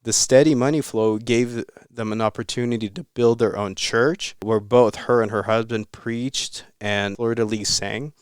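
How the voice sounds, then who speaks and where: A man's voice: mid-range voice, steady tone, moderate pace, hardly any pauses, clean tone, no echo, clean studio sound. A man hosting a casual podcast, recounting a story in a loose, rambling way with casual swearing. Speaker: podcast host.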